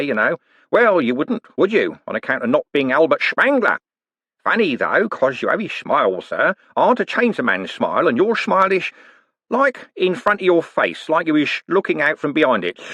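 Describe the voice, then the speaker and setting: A man's voice: on the high side, pushed and bright, breathy edge, no room sound, voice pushed up, full character voice. A man doing a wheedling con man preacher. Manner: wheedling, mock-pious, folksy slang. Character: sly older male con man posing as preacher.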